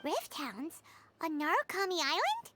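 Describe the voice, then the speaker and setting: A tiny, chirpy fairy companion from a video game. The voice is squeaky, childlike and extremely high, leaping wildly in pitch with eager energy. Music plays clearly behind it. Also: female voice, wildly swooping pitch, unhurried, full character voice. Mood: eager, surprised. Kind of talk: scripted video game dialogue.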